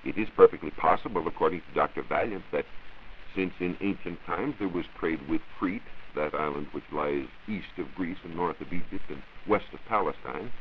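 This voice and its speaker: Dull hissy 1940s radio recording, American man, low voice, formal science reporter, measured lecturing, very varied intonation. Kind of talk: 1940s scripted educational radio talk. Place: radio studio.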